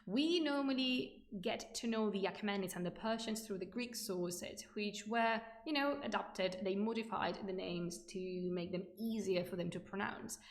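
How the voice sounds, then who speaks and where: Creaky-voiced enthusiastic woman in an echoey room. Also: medium pitch, moderate pace, few pauses. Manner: enthusiastic, chatty, digressive. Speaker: livestream host presenting ancient history. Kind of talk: informal live history lecture with chat asides. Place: indoor room, livestream.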